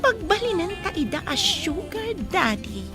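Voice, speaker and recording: A woman's voice: very high-pitched, very animated, measured pace, exaggerated voice. An actress playing a brash, scheming young woman who works at a bar, in an Ilocano comedy radio drama. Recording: radio drama with music behind.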